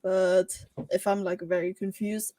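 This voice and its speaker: Swiss woman, giggly and halting. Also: medium pitch, little pitch movement, mellow, no echo. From Switzerland. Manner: giggly, halting, many fillers. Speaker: guest streamer. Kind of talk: casual chat.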